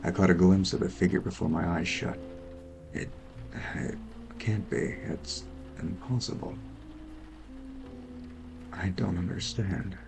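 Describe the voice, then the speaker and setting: A man playing a weary, brooding detective, narrating in a measured film noir style for an audio drama. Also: low-pitched, vocal fry, exaggerated voice. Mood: uneasy, disbelieving. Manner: brooding, measured first-person monologue. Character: weary, sleepless noir detective brooding over murder cases. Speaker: voice actor narrating an audio roleplay.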